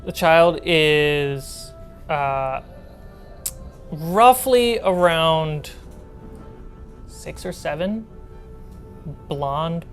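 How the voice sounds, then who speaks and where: Female game master, low voice, informal and halting narration, faint music underneath. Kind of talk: narrating a tabletop role-playing game.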